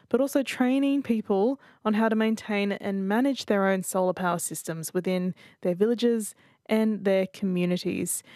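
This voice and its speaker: A woman reads a scripted news piece in a clear, even voice of middling pitch. The sound is close and dry. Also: dry recording. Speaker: news reporter.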